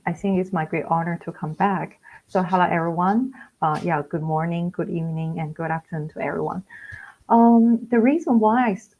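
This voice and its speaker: A woman researcher explaining her work as a guest on a video call. Her voice sits on the low side with a gravelly fry, and she talks haltingly, full of fillers and self-corrections. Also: dull audio. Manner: hesitant, many fillers and restarts. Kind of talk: live online interview, explaining research.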